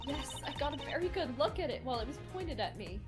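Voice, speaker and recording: A woman voicing a distraught, high-strung young woman in a melodramatic fan dub of a video game, with music under her. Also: lively intonation, fast talker. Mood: shaken, pleading. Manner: melodramatic, emotional delivery.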